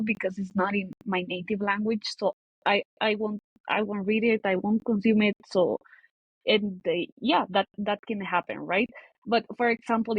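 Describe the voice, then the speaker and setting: A woman's voice: mid-range voice, slightly rough, no room sound, muffled recording. A woman, a guest on a podcast talking about content marketing, often restarting and cutting off words as she thinks. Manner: hesitant, frequent restarts and cut-off words. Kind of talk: casual interview conversation.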